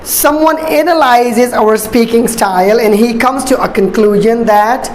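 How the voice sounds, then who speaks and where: Slow-explaining woman teacher. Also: a little high, a little hiss or hum. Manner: slow, deliberate, repeats points with fillers. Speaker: ESL instructor. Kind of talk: classroom-style explanation. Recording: echoey room.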